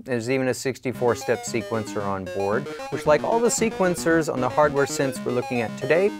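A middle-aged man narrating a scripted product overview in a clear, explanatory way with varied emphasis. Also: mid-range voice. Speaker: product video presenter. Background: music and synthesizer playing.

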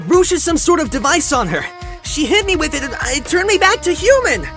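A man's voice: very high voice, brisk, very echoey, far from the mic, heavily acted. A man playing a quippy, panicky young hero. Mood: alarmed. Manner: sarcastic, excitable. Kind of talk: fan audio drama acting. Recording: music score behind.

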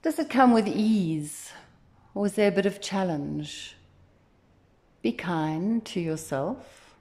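A woman leading a guided meditation as instructor, speaking in a gentle, soothing, unhurried way. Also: medium pitch, expressive. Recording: echoey, roomy sound.

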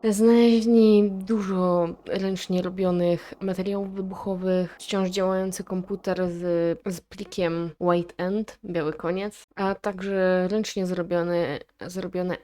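Chatty lady with a lowish voice doing reaction commentary, reads stuff out and wonders aloud. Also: steady tone, slow, few pauses, warm tone. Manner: chatty, inquisitive, thinking aloud. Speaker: video creator reacting to analog horror. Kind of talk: casual reaction commentary, reading text aloud and theorizing.

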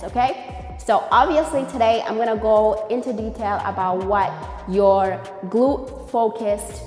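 A woman's voice: on the high side, darkish tone. A young woman coaching a workout in a gym, upbeat and checking in often with "okay?" and "right?". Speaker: fitness coach. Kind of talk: workout tutorial. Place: large gym room with glass walls. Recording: echoey room, distant mic, faint music.

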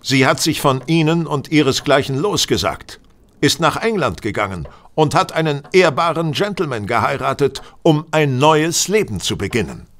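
An actor in a German audio drama playing a shrewd, cool gentleman detective who speaks in a measured, precise, superior way. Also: on the high side, animated. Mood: stern.

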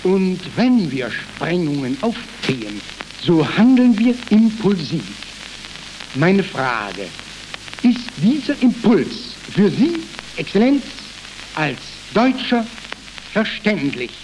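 A man's voice: high voice, sing-song, slow pace, darkish tone, voice pushed up, exaggerated voice. A man reading the part of a young, radical freedom fighter in a stage play, declamatory and insistent. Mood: insistent, fervent. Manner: declamatory, pressing, emphatic. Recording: noisy, crackling historical archive recording.

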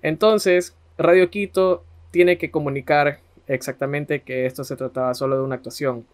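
A man's voice: a little high, fairly even intonation, moderate pace. A man co-hosting a podcast, telling a story in a conversational way. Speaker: podcast co-host.

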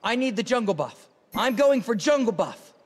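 A man's voice: very high voice, sing-song, moderate pace, long reverb tail, full character voice. A man voicing a cocky, playful young graffiti-artist fighter in a video game, brash and teasing with slangy short callouts. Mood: brisk, confident.

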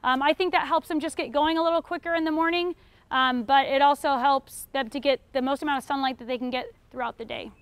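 High-pitched young American woman teaching a class. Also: fairly even intonation, quick pace, dry recording. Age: young adult. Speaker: beekeeping class instructor. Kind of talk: informal lecture answering audience questions. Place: retail store aisle in front of a seated audience.

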